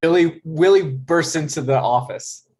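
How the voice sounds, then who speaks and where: A man's voice: a little high, unhurried, darkish tone. A man reading stage directions as scripted narration for a table read.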